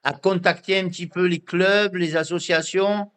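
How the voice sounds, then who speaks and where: A man hosting a radio interview, asking questions in a halting way that repeats words. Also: high voice, steady tone, fairly bright tone, clear, resonant tone. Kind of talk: casual interview.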